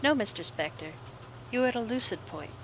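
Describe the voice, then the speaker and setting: An actress playing a cool, clinical female psychiatrist who talks in a soft, precise, lecturing way. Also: fairly high pitch, lively intonation, a little fast, a little hiss or hum, low-quality recording. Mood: clinical, calm. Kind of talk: amateur motion comic voice acting.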